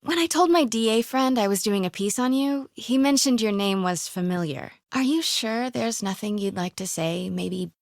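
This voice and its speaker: A woman playing a plucky, quick-witted young female investigative reporter in a video game, with a breathy voice. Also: fairly high pitch, expressive, no echo, clean studio sound. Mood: polite, probing. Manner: hushed muttering to herself.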